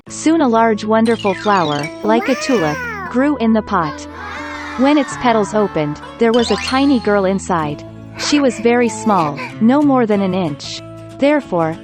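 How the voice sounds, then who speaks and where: A woman reads a children's fairy tale in a calm, measured voice. Her pitch sits in the middle of the range. Music plays clearly behind her throughout. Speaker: storyteller. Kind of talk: scripted fairy-tale narration.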